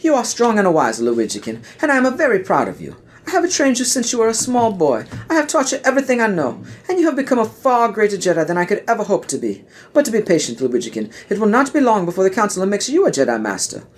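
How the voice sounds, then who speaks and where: Man putting on a lilting, high-pitched Jedi mentor. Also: wildly swooping pitch, fast talker, exaggerated voice. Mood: fond, encouraging. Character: Mario as a wise, kindly older Jedi master.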